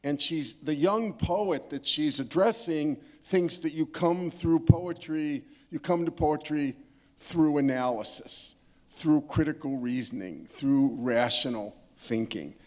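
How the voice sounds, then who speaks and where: A man's voice: fairly high pitch, takes it slowly, darkish tone. Man giving emphatic literary commentary. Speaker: panelist speaking about poetry. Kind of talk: literary commentary at a panel discussion.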